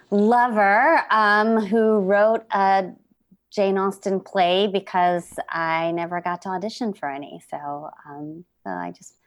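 Low-voiced woman, thoughtful. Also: dry recording. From United States. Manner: thoughtful, with many ums and restarts. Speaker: actor and playwright guest. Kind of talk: conversational podcast guest.

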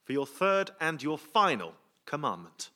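A man's voice: fairly high pitch, sing-song, measured pace. A man hosting a comic interview on a theatre stage, speaking hesitantly and with mock deference. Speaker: comedy show host. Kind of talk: comic interview before an audience. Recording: live theatre, faint noise.

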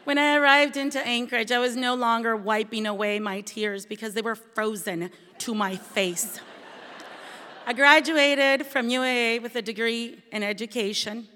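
Woman telling her story, kinda high voice, echoey hall sound. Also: normal speed, pushed and bright, slight background noise. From Venezuela. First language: Spanish. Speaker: bilingual teacher. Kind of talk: scripted personal storytelling. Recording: long hall reverb.

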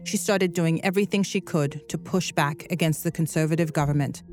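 A woman hosting a podcast, reading scripted documentary narration in a measured, earnest way. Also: sits low, darkish tone, faint music behind.

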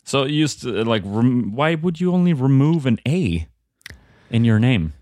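A man's voice: medium pitch, very animated, a little slow, a little mellow, no echo, clean studio sound. A man hosting a comedy podcast, asking questions with many hesitations and fillers. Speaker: podcast host.

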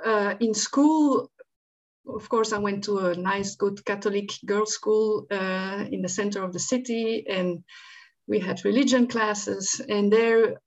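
A woman's voice: mid-range voice, dull audio. A woman from Belgium, a psychiatrist answering interview questions thoughtfully, with many ums and restarts. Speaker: psychiatrist guest.

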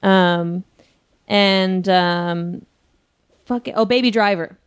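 A woman's voice: medium pitch, slow, clean tone, dry recording. A woman in casual conversation about upcoming movies, talking offhandedly with casual swearing.

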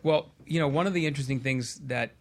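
A man's voice: mid-range voice, fast talker. A man speaking as a guest hypnotherapist on a talk show, explaining and telling anecdotes conversationally.

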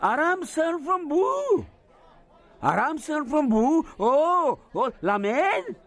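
A male actor plays a kindly, excitable village man in an audio drama. His high voice swings widely and emphatically, at a slow pace, with people behind him. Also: a faint crowd, full character voice. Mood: straining to hear.

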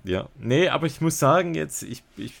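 A man's voice: a little high, animated, moderate pace, creaky. A man chatting casually as a podcast co-host, enthusiastic and colloquial with bits of English slang. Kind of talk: casual podcast chat and product review.

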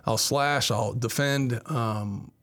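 Male athletics director, forties, from Miami, medium pitch, conversational storytelling, interview guest. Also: takes it slowly, a bit bright. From Miami, Florida, United States. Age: 40s.

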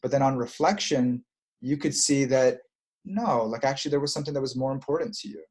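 Casting: husky man, soft-spoken spiritual guide, reflective and repetitive. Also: medium pitch, a little fast. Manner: gentle, reflective, repeats phrases. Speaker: prayer leader. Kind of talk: spiritual chat and prayer.

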